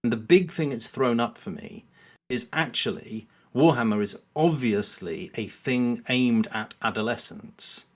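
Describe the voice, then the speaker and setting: A man's voice: mid-range voice, measured pace. A man speaking as a podcast guest in a casual, analytical discussion, often rephrasing himself as he thinks aloud.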